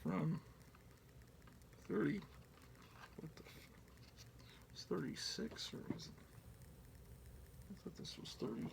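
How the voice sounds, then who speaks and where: A man's voice: on the high side, takes it slowly, long pauses, slight background noise. A middle-aged man in a casual, rambling show-and-tell, profane and digressive with a self-mocking streak. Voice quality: gravelly.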